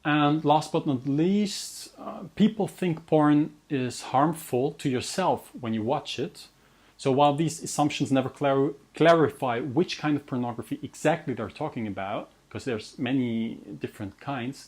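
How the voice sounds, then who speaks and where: A man's voice: medium pitch, a little mellow. A young adult man with an Austrian German accent, speaking English as a second language as he introduces his interview series in an earnest, self-deprecating way, often restarting words. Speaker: host and researcher. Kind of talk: scripted personal introduction to camera.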